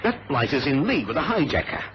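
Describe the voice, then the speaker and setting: An actor playing a suspicious, determined airline man in an old radio adventure, emphatic and halting as he reasons things out. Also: a little high, very animated. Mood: excited deduction. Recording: muffled, noisy vintage radio recording.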